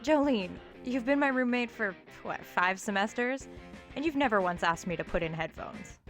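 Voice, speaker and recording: An actress playing a steady, wry young college student in an audio drama, with a dry, earnest delivery. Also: female voice, on the high side, moderate pace, faint music behind. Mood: wry, teasing. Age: young adult.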